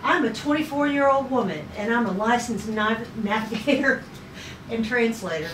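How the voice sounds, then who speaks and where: An earnest, feisty young woman navigator from a sci-fi script, acted out by a woman. Her voice sits fairly high, heard in an echoey room with clear noise around it. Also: moderate pace, fairly bright tone, lightly acted. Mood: indignant. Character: earnest, feisty twenty-four-year-old female navigator in sci-fi. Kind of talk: table read acting. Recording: noisy echoey room, distant mic.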